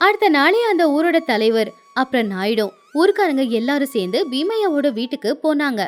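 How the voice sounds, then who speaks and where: A woman's voice: high voice, big pitch swings, a little fast. A woman narrating a scripted moral story as a storyteller.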